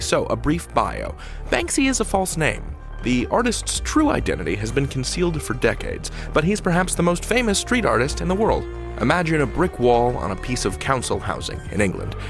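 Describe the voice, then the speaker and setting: Announcer: man, mid-pitched and very animated, delivering scripted podcast narration over soft music.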